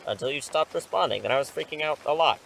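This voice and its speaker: A man acting in an audio drama as an impulsive, excitable superhero mentor, rambling and reassuring, sheepish when challenged. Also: a little high, fast, creaky, slight background noise, low-quality recording. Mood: relieved, sheepish.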